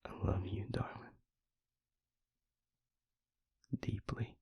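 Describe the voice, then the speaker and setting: A man playing a tender, earnest vampire lover in an intimate romantic audio roleplay, speaking haltingly and plainly in a breathy, gravelly near-whisper. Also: no room sound, muffled recording, close to an everyday voice. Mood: tender, vulnerable. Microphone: close microphone in a dry room.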